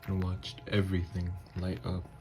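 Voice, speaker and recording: A man playing a gentle, haunted, newly-turned vampire lover in an ASMR audio drama, speaking in hushed, halting, hesitant phrases. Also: sits low, monotone, over music. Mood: wistful, awed.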